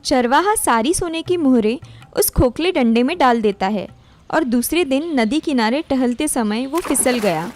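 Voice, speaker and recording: A woman storyteller with a high voice narrates a scripted moral tale. Light music plays behind her narration. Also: animated, measured pace, a little mellow.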